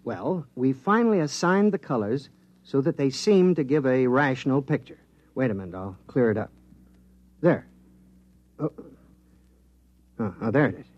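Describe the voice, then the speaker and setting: An actor playing an eager, absent-minded government scientist in a 1950s radio drama, explaining things in an animated way. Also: male voice, mid-range voice, moderate pace, pauses often, highs cut off, lightly acted.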